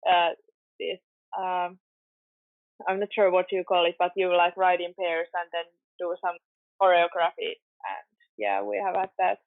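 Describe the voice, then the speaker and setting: A young Finnish woman, a guest on a podcast, speaking English slowly and hesitantly with a Finnish accent and frequent pauses. Her audio is thin and tinny like a phone line, and dry with no room sound. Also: on the low side, creaky, no room sound. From Finland. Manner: slow, hesitant, many uh fillers. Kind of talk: casual interview chat.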